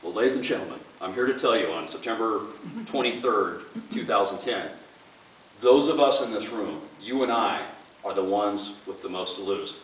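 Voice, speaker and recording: Man, campaign speech, earnest, somewhat low voice, roomy echo. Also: animated, normal speed, fairly bright tone. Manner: earnest, repeats words when starting sentences. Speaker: political candidate. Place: meeting room with an audience. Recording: echoey room, far from the mic, faint hiss.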